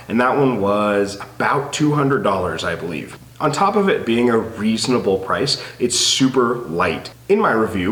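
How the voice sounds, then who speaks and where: A man's voice: on the low side, fairly bright tone, reverberant. A man with a nasal, stuffed-up voice from a head cold, chatting enthusiastically to camera about photography gear. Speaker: photography YouTuber. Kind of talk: casual gear talk to camera.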